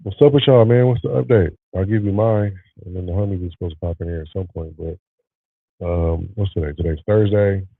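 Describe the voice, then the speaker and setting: Low, gravelly male host, congested and tired, relaxed livestream chat, tinny phone-line sound. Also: fairly quick, no echo. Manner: relaxed, talks to live viewers. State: nasally congested. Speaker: podcast host. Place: home studio.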